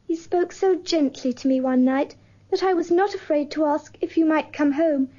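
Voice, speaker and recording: A woman's voice: high-pitched, moderate pace, dark tone, natural voice. A woman playing an eager little sister. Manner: breathless and affectionate. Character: eager, loving young girl, a little sister. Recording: dull lo-fi recording.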